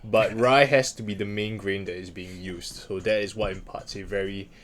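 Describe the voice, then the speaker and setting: A man's voice: fairly low pitch, fairly even intonation, moderate pace, a bit bright. A man co-hosting a casual talk show, bantering and explaining in wry, wordy, slightly formal phrases.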